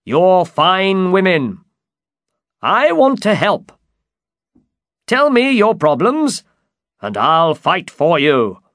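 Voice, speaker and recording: Male narrator's old would-be knight, storybook, high, swooping pitch, pompous, earnest, eager. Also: sing-song, drawn-out words, long pauses, fairly bright tone, dry recording, exaggerated voice. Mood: gallant, reassuring. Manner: pompous, earnest, chivalrous declamation. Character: eager, grandiose old man who believes he's a knight. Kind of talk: dramatized storybook reading.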